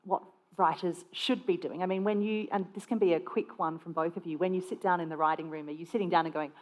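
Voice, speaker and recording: A woman moderates a festival panel in a brisk, encouraging way. Her voice is somewhat low, and she keeps things moving while laughing along with her guests. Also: steady tone.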